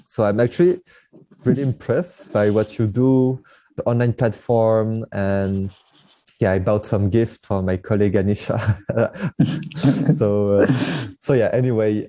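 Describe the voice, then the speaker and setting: A man's voice: mid-range voice, warm tone, no echo. A man hosting a podcast, introducing his guest and chatting in an eager, friendly way with frequent laughs. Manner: enthusiastic, chatty, laughs often. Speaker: podcast host. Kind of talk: casual interview, scripted intro.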